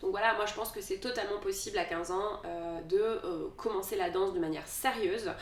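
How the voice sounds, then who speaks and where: A woman's voice: medium pitch, a little fast, far from the mic. Thirty-something woman in an echoey room. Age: 30s. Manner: hesitant, many fillers and restarts. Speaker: dance vlogger. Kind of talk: casual Q&A vlog.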